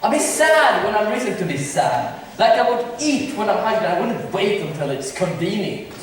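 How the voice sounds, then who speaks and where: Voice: mid-range voice, sing-song, moderate pace, close to an everyday voice. An amateur stage performer playing a gloomy, resentful villain, the brother of a prince, with bitter, declamatory delivery. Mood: brooding. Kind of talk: amateur stage acting of modernized Shakespeare. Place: large echoey room, school drama performance. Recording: distant, very echoey hall, faint noise.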